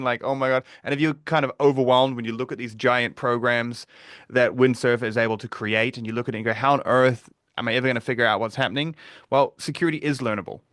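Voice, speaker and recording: A man with a New Zealand accent hosts a live online webinar, talking in a relaxed, conversational way and often pausing on fillers and restarting his sentences. His voice sits at an ordinary middle pitch. The recording is dry and close, with no room sound. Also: clear, resonant tone, no echo. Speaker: webinar presenter, security advocate.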